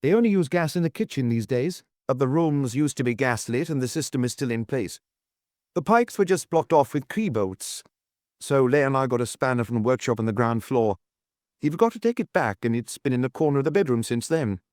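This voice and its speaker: A man's voice: medium pitch, lively intonation, brisk, darkish tone, dry recording, clean studio sound, natural voice. A man voicing a diligent police officer who reports in a methodical, detailed way, in an audiobook.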